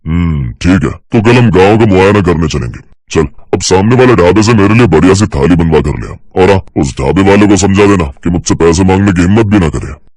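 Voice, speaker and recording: A man acts out a corrupt police inspector from a cartoon tale. He is boastful and threatening, with a fairly low voice in a close, dry sound. He orders people around greedily. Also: expressive, a little fast, hardly any pauses, darkish tone, dry recording. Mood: bossy. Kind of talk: Hindi cartoon story character voice.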